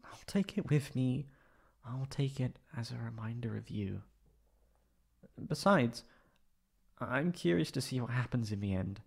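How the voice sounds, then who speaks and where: Man acting a slow, creaky-voiced tsundere catboy. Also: medium pitch, darkish tone. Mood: hesitant, wistful. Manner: hesitant, stammering. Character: proud, flustered tsundere catboy, insecure, emotional. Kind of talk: ASMR roleplay acting.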